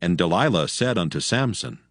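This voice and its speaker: Low-voiced solemn male Bible narrator. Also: big pitch swings, brisk, dry recording, clean studio sound. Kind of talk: dramatized Bible narration, scripted.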